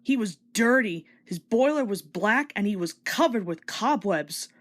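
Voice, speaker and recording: A woman reading a children's story aloud as an expressive, scripted read-along narrator. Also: medium pitch, unhurried.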